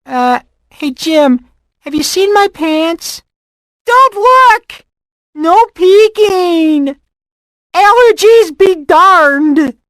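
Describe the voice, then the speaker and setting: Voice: very high voice, lively intonation, drawn-out words, a bit bright, no room sound, exaggerated voice. An actor voicing a timid, whiny young cartoon boy in a game, with a nasal, wheezy voice and an anxious, hesitant manner. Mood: alarmed.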